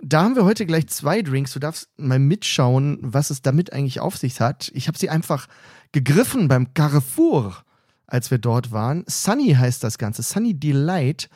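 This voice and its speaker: A man's voice: a little high, big pitch swings, moderate pace, darkish tone, breathy edge, no room sound. Guy cohosting a chatty podcast, teasing his buddy, dry close mic. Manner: joking, teasing. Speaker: podcast co-host. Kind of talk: casual banter podcast. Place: training camp room.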